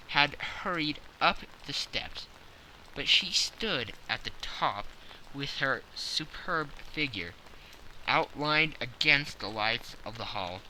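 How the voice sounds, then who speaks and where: A man narrating a classic story as an audiobook, measured and expressive. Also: medium pitch, slight background noise, poor audio.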